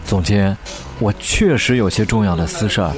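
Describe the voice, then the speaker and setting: A man's voice: fairly low pitch, normal speed, over music, natural voice. A man acting an eager, earnest young aspiring singer in a radio drama. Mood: hesitant. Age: young adult. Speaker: actor in an audio drama.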